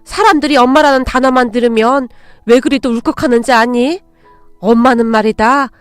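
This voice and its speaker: A woman's voice: high-pitched, dry recording, lightly acted. A woman voicing a protective older mother-in-law in a dramatized story, with a folksy, old-fashioned elder's way of speaking. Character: fierce, protective older mother-in-law, tender with her own.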